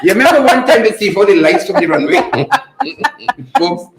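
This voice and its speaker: Guy cohost cracking jokes, laughing, bouncy pitch, echoey room. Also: sing-song, moderate pace, very noisy. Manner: jokey, laughing, slips into dialect. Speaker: co-host. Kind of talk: casual current-affairs chat.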